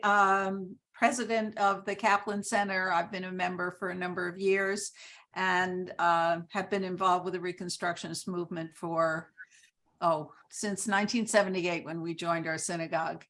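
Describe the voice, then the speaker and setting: A woman's voice: mid-range voice, moderate pace, a bit bright. An older woman hosts an informal conversation, welcoming people and making introductions. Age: 60s or older. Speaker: organization president hosting the conversation.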